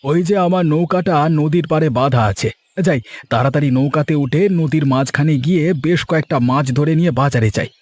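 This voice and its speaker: A man's voice: fairly high pitch, fairly quick, a little mellow, dry recording, natural voice. A man voicing a good-natured, hard-working poor village fisherman in a cartoon moral story, with a folksy way of thinking aloud. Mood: eager. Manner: talks to himself aloud, folksy. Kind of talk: animated moral story voice acting.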